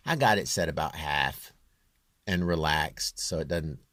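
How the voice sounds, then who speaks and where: A man with a low, near-bass voice talking through a home music production tutorial in a halting way, thinking aloud as he works. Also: a bit bright, no echo, studio quality. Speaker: home musician demonstrating his vocal production. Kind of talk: informal screen tutorial.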